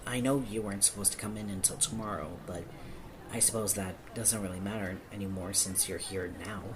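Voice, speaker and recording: Over clearly noisy ambience comes a man acting as a small-town police officer with a lowish voice. He is nervous and awkward, hesitant in how he puts things and quick to apologize, in the style of a one-sided audio roleplay. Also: steady tone, natural voice. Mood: somber, uneasy.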